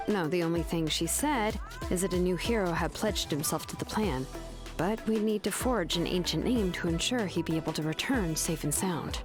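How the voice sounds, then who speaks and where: A woman's voice: fairly low pitch, lightly acted. A woman playing a young, cool and frank master craftswoman in a video game, casual and a little hesitant. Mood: hesitant, taken aback. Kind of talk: animated video game voice acting.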